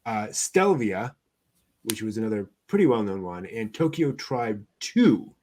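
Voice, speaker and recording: A man hosting an anime news show, chatting casually through items with a somewhat halting delivery. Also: on the low side, big pitch swings, a little mellow, a little hiss or hum.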